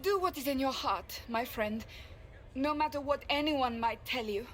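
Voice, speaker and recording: A woman playing a loyal, awkward warrior in a fantasy video game, speaking formally and earnestly, sometimes haltingly. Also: high voice, slight background noise, close to an everyday voice. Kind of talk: video game cutscene acting.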